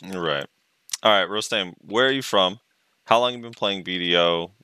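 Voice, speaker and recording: A man co-hosts a casual podcast and keeps asking the guest questions with a teasing curiosity. His voice is very deep yet bright and forceful, and it sounds clean and resonant. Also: moderate pace, clean tone. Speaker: podcast co-host.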